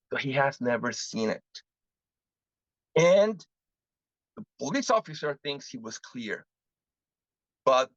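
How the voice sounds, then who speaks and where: Animated man teaching a webinar. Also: mid-range voice, no echo. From Mexico. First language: Spanish. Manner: animated teaching, frequent rhetorical questions, switches into Spanish examples. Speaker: webinar presenter on medical interpreting. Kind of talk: live webinar lecture. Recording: muffled lo-fi dry webinar audio.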